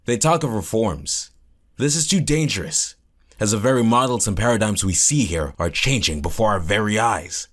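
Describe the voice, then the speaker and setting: Man playing a deep-voiced, grave scholar. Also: expressive, fairly bright tone. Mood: grave warning. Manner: measured, rhetorical, pontificating. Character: grave, opinionated male academic historian. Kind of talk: expert interview in audio drama.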